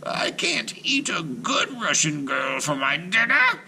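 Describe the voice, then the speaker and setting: A man voicing a bad-tempered, menacing old witch woman from a storybook, with a shrill, strained, snarling, drawn-out delivery. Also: wildly swooping pitch, creaky, bright, forceful tone, a little hiss or hum, exaggerated voice. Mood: disgusted. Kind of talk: storybook read-aloud character voice.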